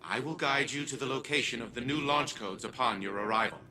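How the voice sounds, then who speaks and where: An actor playing a proud, scheming old scientist and grandfather in a video game, talking in a smug, measured, formal way. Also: medium pitch, pushed and bright, slight background noise. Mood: smug, businesslike.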